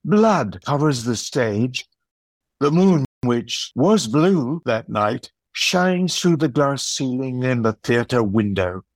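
A man reading scripted narration for an audio play in a measured storytelling manner. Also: mid-range voice, animated, dry recording, studio quality.

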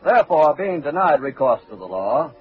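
A man's voice: a little high, big pitch swings, moderate pace. A man narrating a crime drama in scripted 1940s radio style. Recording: tinny 1940s radio recording.

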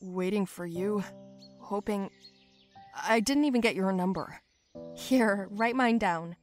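A woman voicing a gentle, resigned young man in a story, subdued and reticent. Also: medium pitch, takes it slowly, soft background music, lightly acted. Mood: quiet, confiding.

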